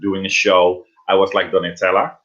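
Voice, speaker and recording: A middle-aged man with a Dutch accent chats in English in a halting way, restarting and repeating words and breaking into laughs. His voice is bright and forceful. The live stream audio is dull and lo-fi. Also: sits low, flat delivery. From Netherlands. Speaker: drag performer and guest. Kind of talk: casual interview chat.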